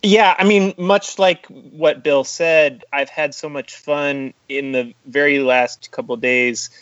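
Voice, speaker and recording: A man of medium pitch answers tentatively, with stops and restarts. The recording is dry and somewhat muffled. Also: steady tone, slow pace, fairly bright tone, no echo. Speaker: book club member. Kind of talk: casual discussion.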